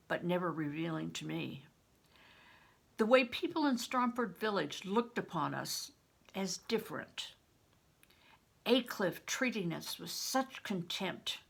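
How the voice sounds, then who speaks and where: An older woman narrates a story from a book at an unhurried pace. Her voice has a gravelly creak and a bright edge, and her delivery is expressive and deliberate. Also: lowish voice. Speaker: audiobook reader, a grandmother.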